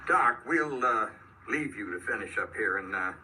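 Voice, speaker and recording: An actor in a radio drama playing a hesitant, polite small-town constable with a folksy drawl. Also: male voice, on the low side, lively intonation, normal speed, bright, forceful tone, recorded from a distance. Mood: politely official. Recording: noisy radio drama, off the mic.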